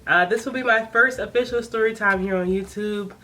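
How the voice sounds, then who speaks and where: A woman in her late twenties telling a personal story in a casual vlog, chatty and animated with frequent laughs. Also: medium pitch, brisk. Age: 20s. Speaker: vlogger.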